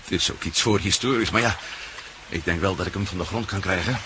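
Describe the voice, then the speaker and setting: An actor in a radio play as a suspicious, sceptical adventurer on the run. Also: male voice, deep voice, brisk, natural voice. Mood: wary. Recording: noisy radio drama recording.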